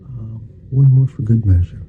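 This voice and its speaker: A man speaking softly, at times nearly whispering, in an ASMR roleplay as a dentist, with folksy, reassuring small talk in short murmured phrases. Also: medium pitch, normal speed, narrow, tinny audio, slight background noise. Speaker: ASMR performer playing a dentist. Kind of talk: ASMR roleplay, soft-spoken to half-whispered.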